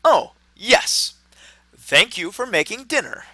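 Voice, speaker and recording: A man's voice: high-pitched, sing-song, takes it slowly, pushed and bright, dry recording, exaggerated voice. A man playing a pompous, blithely jovial mad doctor in a noir radio drama. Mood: baffled, dismissive. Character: eccentric, oblivious male mad doctor hosting a dinner, blithely jovial.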